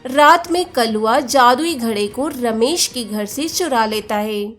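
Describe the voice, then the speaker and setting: A woman narrates a scripted moral tale in a fairly high voice. Her pace is slow, and faint music plays under the storytelling. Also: fairly bright tone.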